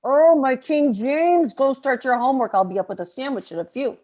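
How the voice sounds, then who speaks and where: A woman's voice: high-pitched, lively intonation, a little fast, a little mellow. A woman playing an affectionate, teasing mother in a comedy table read. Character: affectionate, teasing working-class mom, loving family matriarch.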